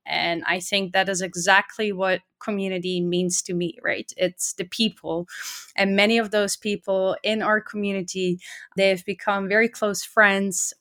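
A woman's voice: medium pitch, fairly bright tone. Upbeat woman guest on a podcast. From Netherlands. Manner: enthusiastic, often adding "right?" and "uh". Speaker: podcast guest, community organizer. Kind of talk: conversational interview.

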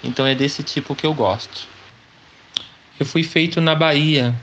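A man's voice: a little high, fairly even intonation, normal speed, a bit bright. A man in his forties from Brazil, telling his life story in an interview, chatty, often ending sentences with a questioning tag. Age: 40s. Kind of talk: slow personal storytelling. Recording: slightly dull recording with faint background noise.

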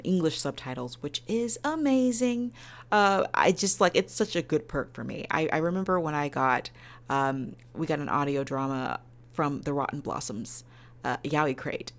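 A woman's voice: low voice, gravelly. A woman hosting a podcast review in an enthusiastic, emphatic and playful way. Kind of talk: semi-scripted podcast review.

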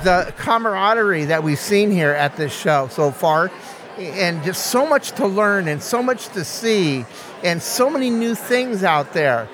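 Man, high voice, excited halting talk, loud crowd around. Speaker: event attendee. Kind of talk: informal interview.

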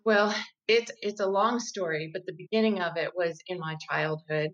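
A woman in her fifties, a guest in an interview, explaining her work as a diversity educator in a measured, thoughtful way with occasional restarts. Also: lowish voice, a bit bright, lo-fi sound. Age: 50s. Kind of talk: conversational interview.

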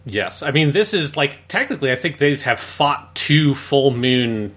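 A man's voice: medium pitch, very animated, a little slow, fairly bright tone. A man co-hosting a podcast, giving casual but analytical commentary in a review discussion, explaining at length with emphasis. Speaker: podcast co-host.

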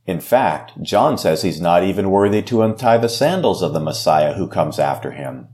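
A man, a pastor, giving a devotional and reading scripture aloud in a slow, measured way. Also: deep voice, animated, a little mellow. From United States.

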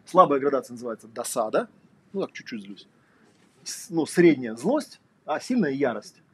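A man's voice: medium pitch, sing-song, normal speed, fairly bright tone, slightly rough. A man giving a casual lecture, talking conversationally with lots of fillers and rhetorical questions.

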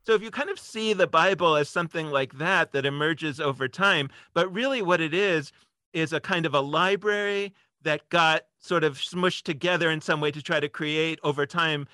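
Male podcast guest, fairly high voice, bright and forceful, dry close sound, discursive, casual. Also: moderate pace, no room sound. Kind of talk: casual explanatory conversation.

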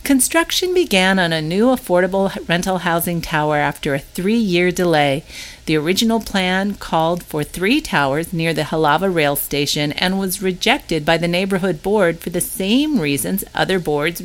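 A woman's voice: lowish voice, animated, normal speed. A woman reading a scripted news update aloud as the narrator of a newsletter. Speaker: newsletter reader.

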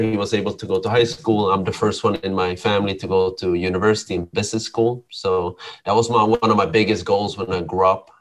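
A man from Sweden, a podcast guest, telling his life story in a relaxed, rambling way with many ums and restarts. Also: fairly low pitch, poor audio. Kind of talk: casual storytelling interview.

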